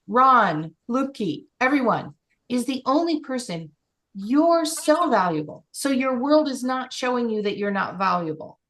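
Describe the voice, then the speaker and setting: A woman's voice: a little high, a bit bright. A woman coach leading a group call, speaking in an encouraging, emphatic way.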